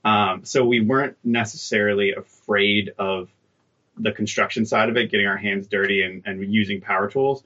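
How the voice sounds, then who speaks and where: Bright-toned easygoing man, dull line. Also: on the low side, steady tone, normal speed. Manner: easygoing storyteller, self-deprecating humor. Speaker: guest. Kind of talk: conversational interview.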